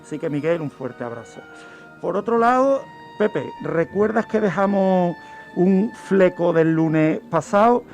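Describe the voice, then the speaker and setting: Male radio crime expert, high register, deliberate pacing, explanatory and conversational. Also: lively intonation, a little mellow. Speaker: guest criminologist presenting a crime segment. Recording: music bed under the voice. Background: background music.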